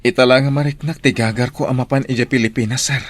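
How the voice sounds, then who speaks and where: A man's voice: medium pitch, steady tone, quick pace, dry recording, natural voice. An actor playing a polite young man who yearns for his family, in an Ilocano radio drama. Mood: eager.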